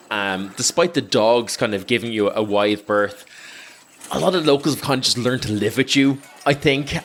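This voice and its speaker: A man narrating an improvised scene as game master in an informal, halting, conversational way. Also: mid-range voice.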